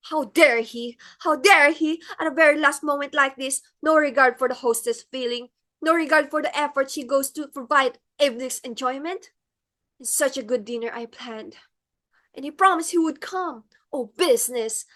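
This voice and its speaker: A woman's voice: sits high, moderate pace, a bit bright. A woman playing an imperious middle-aged society hostess in a comic stage play, theatrical, exclamatory and easily enraged. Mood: furious.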